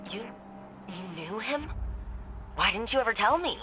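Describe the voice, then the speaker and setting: A woman's voice: medium pitch, expressive, a little slow, noisy background, lightly acted. A woman playing a frank, inquisitive guardian in a science fiction video game, with an informal, direct way of talking. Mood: shocked, hurt.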